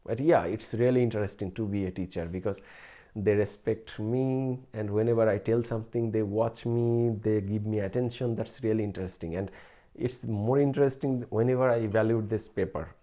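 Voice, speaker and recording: A man's voice: fairly low pitch, steady tone, moderate pace, mellow. A young man from Bangladesh, a native Bengali speaker with a Bangladeshi accent, chatting casually on a live stream and rambling with fillers and self-corrections. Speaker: researcher doing a live stream.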